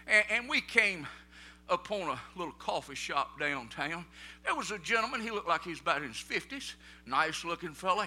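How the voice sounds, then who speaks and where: A middle-aged man with a Southern American accent preaching a sermon, telling folksy stories in plain vernacular and building up to loud preaching peaks. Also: fairly high pitch. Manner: folksy storytelling, rising to shouted preaching peaks.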